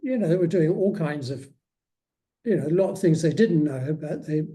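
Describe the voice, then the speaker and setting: A man's voice: fairly high pitch, lively intonation, normal speed, mellow. A man speaking as a guest author in a casual interview, often hesitating and restarting his phrases.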